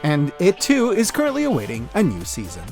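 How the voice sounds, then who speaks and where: A man giving scripted video-essay commentary as an online reviewer, enthusiastic and expressive with wry asides. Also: on the high side, fairly quick, faint music behind. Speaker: online media reviewer and host.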